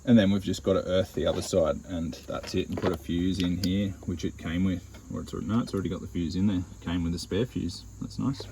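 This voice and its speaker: A man's voice: deep voice, fairly even intonation, fairly quick, few pauses, noisy background. An Australian man casually explaining a hands-on DIY install in a laid-back, matter-of-fact way. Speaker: DIY van-build vlogger.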